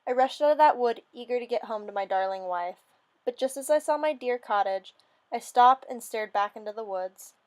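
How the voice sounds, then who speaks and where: A woman with a fairly high voice reads a storybook tale aloud. Her delivery is theatrical and expressive, in the manner of a dramatic storyteller narrating in the first person. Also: normal speed. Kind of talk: dramatic reading of a fairy story.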